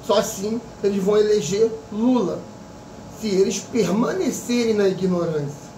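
Man in his fifties, high voice, echoey room. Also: warm tone, recorded from a distance, a little hiss or hum. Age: 50s. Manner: opinionated, repetitive, rhetorical questions. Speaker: psychic vlogger. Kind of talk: casual political monologue.

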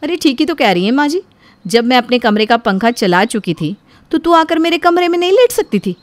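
A woman voicing a defiant, quarrelsome elder daughter-in-law in an animated family story, arguing indignantly. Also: high-pitched, brisk, no echo. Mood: accusing. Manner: argumentative, indignant.

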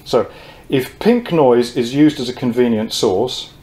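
A man in his sixties gives an informal technical lecture, explaining in a measured way with pauses mid-phrase. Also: medium pitch, very noisy. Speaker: presenter of technical talks on loudspeakers. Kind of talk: informal whiteboard lecture, reading quotes from notes. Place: indoor room at a whiteboard.

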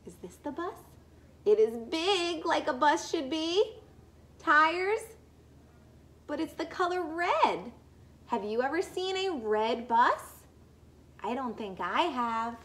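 A young woman teacher reading to small children, expressive and full of questions to her listeners. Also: sits high, slow pace, long pauses. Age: young adult.

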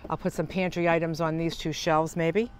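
A woman's voice: low voice, level tone, moderate pace, clear, resonant tone. An older woman giving a casual, chatty how-to tour as a vlogger, explaining things as she works with her hands. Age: older, senior. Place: outdoors at the open side door of a camper van. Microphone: small clip-on lavalier mic.

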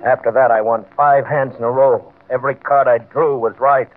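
A man's voice: medium pitch, steady tone, normal speed, lightly acted. Man playing a desperate small-time gambler, tinny old radio. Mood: brooding. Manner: colloquial, tough-guy slang, stammering under pressure. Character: desperate, nervous small-time doorman turned gambler, narrating his downfall. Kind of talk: old-time radio crime drama acting. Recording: vintage radio, narrow band, faint music.

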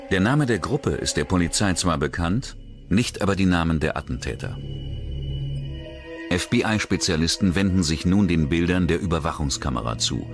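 A man giving a measured, grave scripted narration for a crime documentary. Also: low-pitched. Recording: voice-over over loud music.

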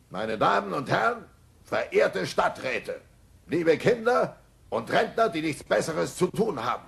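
An actor playing a bumbling, hot-headed middle-aged cartoon father with a gravelly voice and blustering, emphatic delivery. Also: on the high side, very animated, slow, pauses often, exaggerated voice. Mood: determined. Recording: noisy old cassette dub.

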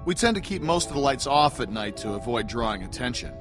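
A man's voice: mid-range voice, lively intonation, fairly quick, over music, natural voice. An actor playing a genial middle-aged man hosting survivors in a video game, polite and reassuring. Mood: welcoming, chatty. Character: genial, soft-mannered middle-aged male lodge host. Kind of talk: naturalistic video game voice acting.

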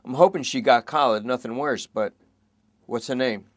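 A man voicing a self-pitying junkie thief who pleads and rambles under police questioning, in a noticeably higher voice than his own narration. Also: moderate pace, no echo, natural voice. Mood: pleading. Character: whiny petty-thief junkie man in custody.

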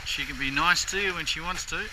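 A middle-aged man at the helm of a sailboat, calmly describing the passage to a camera. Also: a little high, fast talker. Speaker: skipper. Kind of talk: casual sailing vlog commentary. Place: outdoors on a sailboat at sea. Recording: loud wind noise outdoors.

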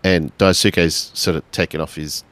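A man improvising narration for his character in a tabletop role-playing game, halting as he searches for words. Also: low-pitched, fairly even intonation, fairly bright tone.

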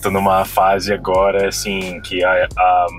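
A man's voice: deep voice, measured pace, music in the background. A Brazilian man in his thirties, a podcast guest, chatting casually and with animation inside a car. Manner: relaxed, slangy, animated storytelling. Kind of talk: casual chat. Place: parked car interior. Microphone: fixed dashboard camera.

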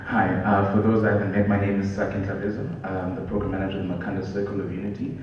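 A man in his thirties with a South African English accent, a program manager giving a loud, friendly conference talk with a handheld mic. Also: low voice, monotone, very fast, far from the mic, slight background noise. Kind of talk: conference presentation. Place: large hall with curtains. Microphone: handheld microphone. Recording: echoey hall with long reverb, dull.

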